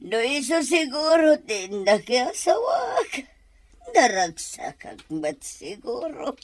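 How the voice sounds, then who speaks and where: A woman's voice: high voice, very animated, slow pace, no echo, full character voice. A woman playing a bitter, tearful middle-aged mother in an audio drama, with an Ilocano accent. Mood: anguished, hurt. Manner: tearful, dramatic, swinging delivery. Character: heartbroken, bitter mother and lady of the house.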